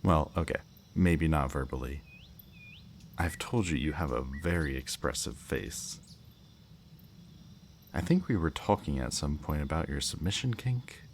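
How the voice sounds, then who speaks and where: Man acting a very deep, smug kidnapper villain. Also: expressive, heavily acted. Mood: calm menace. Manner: slow, teasing, mock-courteous, lingering over words. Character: smug male kidnapper villain, politely menacing and playful. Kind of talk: intimate ASMR audio roleplay, addressing the listener. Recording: faint background noise, chirping night crickets.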